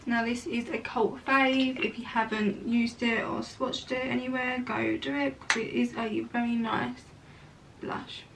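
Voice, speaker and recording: A young woman doing a casual makeup tutorial at home, chatty and full of rambling asides, her voice a bit croaky today. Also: fairly high pitch, flat delivery, a little slow, distant mic, background noise. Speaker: makeup vlogger. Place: room at home.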